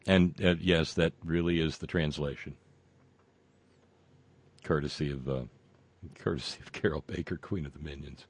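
A man hosting a show, reading viewer comments and delivering sarcastic political commentary that swings from loud rants to muttered asides, with frequent fillers and profanity. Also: rumbling low, steady tone, normal speed, long pauses. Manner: mocking, builds into loud rants then drops to muttering; profane, many fillers and restarts.

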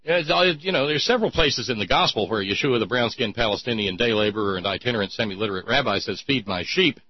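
A man's voice: medium pitch, animated, fast talker, slightly rough, dry recording, lo-fi sound. A man hosting an irreverent talk show, reading listener notes aloud with a mocking, sardonic edge.

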